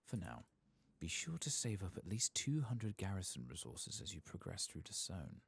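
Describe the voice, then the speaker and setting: Very deep, creaky, soft-spoken ASMR man. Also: very animated, normal speed. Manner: murmuring, musing aloud to himself. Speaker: host of an ASMR game playthrough channel.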